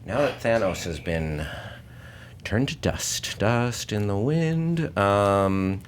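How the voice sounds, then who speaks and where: A man hosting and judging an unscripted debate show, speaking in a halting, casual way with informal slang. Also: lowish voice, lively intonation, a little hiss or hum. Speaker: host and judge of a debate show.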